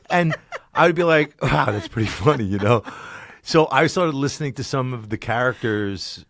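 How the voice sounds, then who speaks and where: A man with a slight cold, chatting as a podcast guest in a studio, telling animated stories and laughing often. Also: mid-range voice, normal speed, no room sound. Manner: animated storyteller, laughs often, slips into voices. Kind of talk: casual interview chat.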